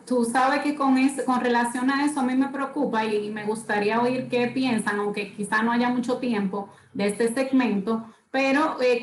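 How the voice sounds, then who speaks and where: A Dominican woman on a television panel, speaking off the cuff and haltingly as she searches for words. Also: a little high, slight background noise. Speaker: panelist and host. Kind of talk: unscripted TV panel discussion. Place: TV studio. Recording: echoey TV studio.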